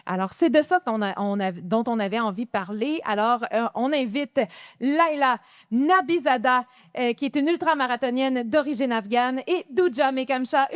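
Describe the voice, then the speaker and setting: A woman's voice: high-pitched, no room sound, clean studio sound. Chatty, upbeat Quebec woman host. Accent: Quebec French. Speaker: podcast co-host. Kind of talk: live podcast hosting. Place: event in front of a live audience.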